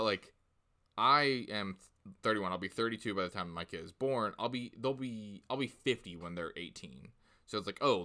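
A man in his thirties hosts a casual podcast, talking loosely and filling his sentences with little asides. His voice sits low but has a bright, forward tone. He laughs easily between points. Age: 30s. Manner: chatty, filler-heavy, quick to laugh. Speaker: podcast host.

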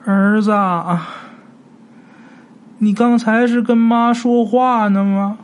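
A man voicing a doting, vicious ghost of an old mother with a high, drawn-out, wailing voice. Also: fairly even intonation, clear, resonant tone. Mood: pleading.

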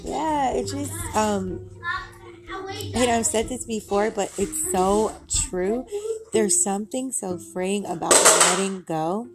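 A young woman with a raspy voice, talking at home in a rambling, giggly, upbeat way about positivity, with music behind her. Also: medium pitch, takes it slowly. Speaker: vlogger. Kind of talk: casual motivational talk to camera. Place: home with kids playing.

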